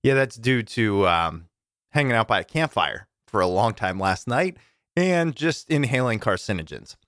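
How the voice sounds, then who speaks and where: A male podcast host giving a chatty, enthusiastic intro, his voice a little deeper and rougher than usual from campfire smoke. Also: expressive, normal speed, fairly bright tone, no echo, studio quality.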